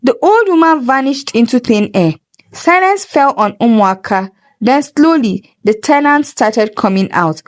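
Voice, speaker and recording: A woman's voice: a little high, lively intonation, measured pace. A woman with a Nigerian accent telling a folktale as a dramatic storyteller. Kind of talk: dramatic folktale narration with character voices.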